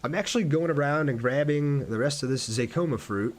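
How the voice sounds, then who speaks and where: A man's voice: fairly high pitch, brisk. A man streaming a video game, chatting casually and conversationally with his viewers as he plays. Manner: conversational, reads viewer comments aloud and answers them. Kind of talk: casual livestream chat while playing a game.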